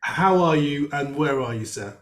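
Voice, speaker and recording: A man's voice: a little high, expressive, normal speed, fairly bright tone, a little hiss or hum. Chatty male host on a video call, rambling delivery, room echo. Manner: chatty, rambling with many fillers. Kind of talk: casual video-call interview.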